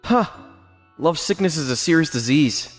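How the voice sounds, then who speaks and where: A man playing a quiet, earnest, lovesick schoolboy in a manga dub, reserved and halting when flustered. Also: a little high, expressive, music in the background, lightly acted. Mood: lovesick musing. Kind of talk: dramatic manga dub narration and dialogue.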